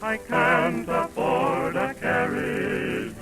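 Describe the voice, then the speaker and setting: A woman's voice: a little high, lively intonation, extremely slow, a bit bright, soft background music, low-quality recording. A woman singing an old waltz song in 1940s radio style with an orchestra.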